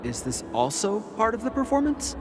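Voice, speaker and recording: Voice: medium pitch, very animated, normal speed, background noise, natural voice. An actor playing an ordinary, skeptical guest in a video game, hesitant and doubtful in manner. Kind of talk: scripted video game dialogue.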